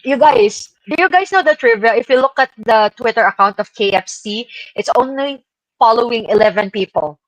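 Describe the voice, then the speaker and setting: A woman's voice: on the high side, moderate pace, dry recording, poor audio. Woman in casual banter, dry sound. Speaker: podcast panelist.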